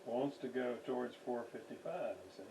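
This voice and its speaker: A man giving an unscripted hands-on demonstration at a workbench, halting as he thinks aloud while he works. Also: mid-range voice. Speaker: radio restoration hobbyist, demonstrator. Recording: distant mic with faint background noise.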